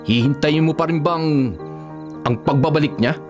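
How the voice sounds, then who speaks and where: An actor playing a worried, exasperated adult son in a Filipino radio drama, pleading urgently. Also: male voice, on the high side, moderate pace, over music, natural voice.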